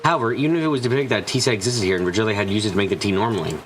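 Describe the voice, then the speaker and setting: A man playing a thoughtful, analytical male character in a visual novel fan dub, reasoning in a measured manner. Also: lowish voice, slight background noise, lightly acted. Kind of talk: scripted fan-dub game dialogue.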